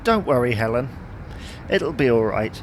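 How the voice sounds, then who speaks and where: An actor playing a grumbling, long-suffering British husband who can't abide gadgets, in a domestic comedy audio drama. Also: male voice, medium pitch, expressive, moderate pace, slight background noise, close to an everyday voice. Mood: placating. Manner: grumbling, placating.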